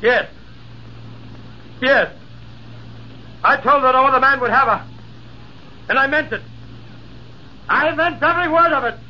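An actor playing a scheming, cowardly officer with a shrill, whining manner, in 1940s radio acting on a dull old recording. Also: male voice, a little slow, long pauses. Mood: defiant. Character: scheming cowardly officer, boastful then begging.

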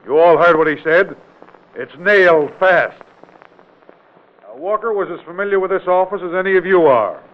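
Forceful male investigator, 1940s radio crime drama. Highish pitch, commanding, insistent, tinny old recording. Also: animated, moderate pace, pauses often. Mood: accusing, insistent. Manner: authoritative, brusque, insistent rhetorical questioning. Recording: tinny, band-limited vintage radio recording.

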